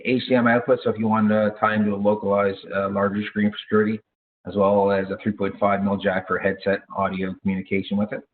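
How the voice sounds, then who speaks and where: A man giving informal product training in a halting way, with frequent hesitations and self-corrections. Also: fairly low pitch. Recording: thin, phone-like narrow-band audio.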